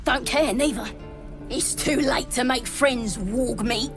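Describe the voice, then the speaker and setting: A woman's voice: high-pitched, slow pace, music in the background. A woman playing a sassy, surly little goblin from a fantasy game. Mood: surly defiant.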